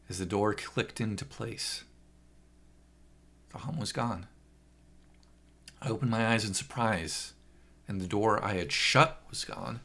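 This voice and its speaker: A man's voice: lowish voice, creaky, sharp tone. A man narrating a first-person horror story with an intense, theatrical delivery.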